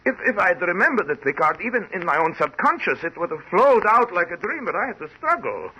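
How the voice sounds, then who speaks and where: An actor playing an anguished, obsessed composer in old-time radio drama style, intense and brooding, with halting theatrical repetitions. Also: male voice, high-pitched, very animated, pushed and bright, husky, exaggerated voice. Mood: agitated, incredulous. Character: anguished, obsessed male composer haunted by rival. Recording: muffled, noisy vintage radio recording.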